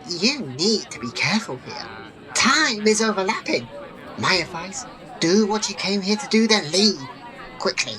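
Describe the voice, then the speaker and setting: A woman's voice: mid-range voice, very animated, unhurried, bright, forceful tone, a faint crowd, low-quality recording. A woman playing a cryptic otherworldly being giving urgent warnings in portentous, clipped phrases, in a fantasy audio drama.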